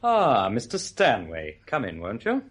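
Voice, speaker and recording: An actor playing an urbane, teasing, over-polite man with a husky voice and an air of menace, in a radio play. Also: medium pitch, huge pitch swings, measured pace, noisy background. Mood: suave, teasing. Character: suave, teasing, quietly menacing male host who knows too much.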